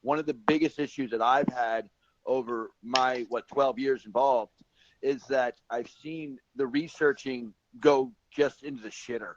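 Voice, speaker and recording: A man's voice: mid-range voice, unhurried. Guy hosting a talk show, rambles and restarts a lot, muffled lo-fi sound. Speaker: host.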